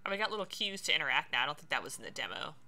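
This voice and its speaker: A woman giving casual, animated commentary as she streams a video game let's play. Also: deep voice, rapid-fire, gravelly. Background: game music.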